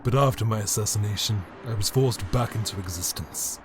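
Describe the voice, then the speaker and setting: A man playing a bitter, power-hungry immortal alien emperor in a dramatic audio drama monologue, brooding and grandiose, with deliberate theatrical pauses. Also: lowish voice, background noise, lightly acted. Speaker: reader of an audio story.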